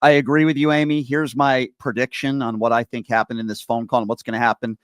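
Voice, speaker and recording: A man's voice: medium pitch, steady tone, quick pace, smooth, no room sound, studio quality. An American man hosting a political talk show, interviewing a guest and offering his own opinions.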